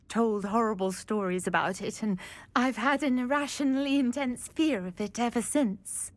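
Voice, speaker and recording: Timid wistful lady, high voice, genteel, dry close recording, video game. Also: no echo. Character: sweet, timid, wistful woman fascinated by butterflies. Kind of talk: video game dialogue acting.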